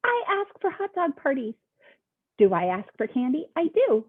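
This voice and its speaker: Woman playing a high, whiny cartoon pigeon, dramatic begging, tinny audio. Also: wildly swooping pitch. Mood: pleading, whiny. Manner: dramatic, pleading, drawn-out whines. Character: whiny, excitable male pigeon who keeps asking. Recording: tinny phone-like sound.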